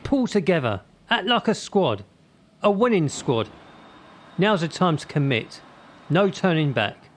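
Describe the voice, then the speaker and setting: A stern male sports coach in a radio drama. His fairly high voice swings widely as he drills out short, emphatic commands with menace. There is clear ambient noise behind him. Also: sing-song, unhurried. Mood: fired up. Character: stern adult male gymnastics coach, hard-driving and menacing. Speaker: actor in a radio play. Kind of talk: radio drama acting, motivational tirade.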